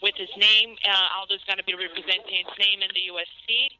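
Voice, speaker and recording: A man interpreting haltingly on a conference call, over a telephone line. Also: high voice, fairly even intonation, bright, forceful tone. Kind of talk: hesitant spoken interpretation. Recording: dull phone line.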